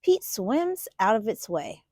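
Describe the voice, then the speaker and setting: Female children's read-aloud host, highish and slightly husky voice, expressive, question-asking delivery, close dry sound. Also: takes it slowly, no echo. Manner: expressive, asks young listeners questions. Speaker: children's storytime host and reader.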